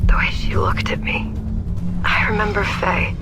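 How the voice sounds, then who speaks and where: A woman's voice: unhurried, whispered, lightly acted. A woman playing a weary, guilt-ridden weapons researcher recording a confessional, wry monologue for a video game. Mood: shaken, regretful. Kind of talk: scripted audio-log monologue in a video game. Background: loud game music.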